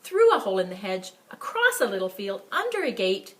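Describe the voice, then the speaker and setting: A woman reading a picture book aloud to children as a library storyteller, with expressive, emphatic phrasing and chatty asides. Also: mid-range voice, a little fast. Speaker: story time reader for a school library.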